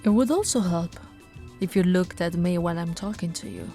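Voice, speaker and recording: A woman's voice: on the low side, normal speed, faint music behind, close to an everyday voice. A woman playing a flirty no-nonsense policewoman. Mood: teasing. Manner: teasing, coaxing interrogator, alternating firm and soft. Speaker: voice actress. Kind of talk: ASMR roleplay.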